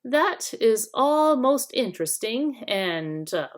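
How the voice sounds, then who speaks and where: A woman voicing a learned male doctor with a formal, measured, politely probing manner in a storybook. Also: a little high, very animated, voice pushed up. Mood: dryly polite.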